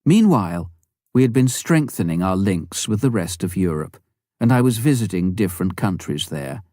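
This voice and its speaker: A man with a fairly low, very mellow voice narrates a memoir. His reading is measured and clearly phrased, in the scripted style of an audiobook. The sound is dry and close, with little room around it. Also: lively intonation, no echo.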